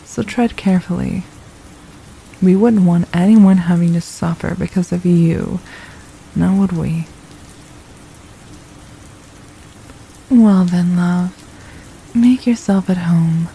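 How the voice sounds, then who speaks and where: Villainess for ASMR roleplay, played by a woman: low, very mellow, possessive captor, softly menacing and mocking, faint rain behind. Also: steady tone, gravelly, slight background noise, low-quality recording. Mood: smug, threatening. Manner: menacing, mocking, silky and deliberate. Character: possessive, condescending female royal villain holding a captive. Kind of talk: ASMR roleplay, intimate scripted monologue.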